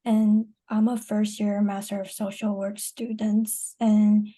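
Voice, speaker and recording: Very dark, flat-voiced woman from Taiwan. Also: medium pitch, slow. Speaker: graduate student panelist.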